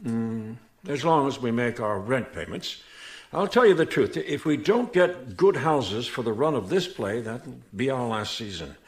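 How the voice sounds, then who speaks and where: Worried theater manager in a radio play, medium pitch, very expressive, chatty and honest, bit hesitant. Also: male voice, unhurried. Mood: candid, worried. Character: worried, candid male manager of a struggling theater. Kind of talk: radio mystery drama acting.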